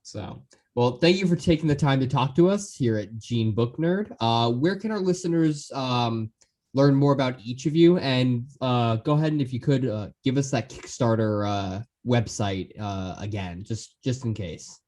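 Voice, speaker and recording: A man's voice: medium pitch, normal speed, darkish tone. A man hosting a podcast, chatting casually and enthusiastically as he interviews his guests. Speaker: podcast host.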